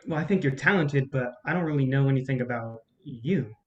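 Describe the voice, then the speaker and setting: A man's voice: medium pitch, brisk, highs cut off, a crowd in the background, close to an everyday voice. A man playing a cynical but smitten, inquisitive young man in a naturalistic staged play reading. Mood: curious.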